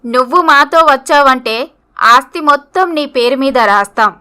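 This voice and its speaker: A woman's voice: high voice, normal speed, pushed and bright. A woman voicing a greedy, scornful middle-aged mother in a story, with a haughty, scolding manner. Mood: cold, greedy.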